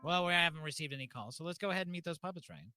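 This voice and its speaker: A man's voice: a little high, animated, rapid-fire. A man hosting a call-in show in a casual, chatty style. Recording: music behind the voice.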